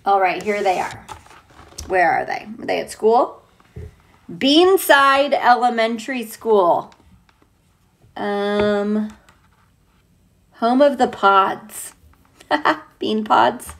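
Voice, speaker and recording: Female teacher, mid-pitched and unhurried, playful and chatty, casual children's storytime read-aloud. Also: animated, vocal fry. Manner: playful, chatty, asks listeners questions. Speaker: teacher reading a picture book aloud.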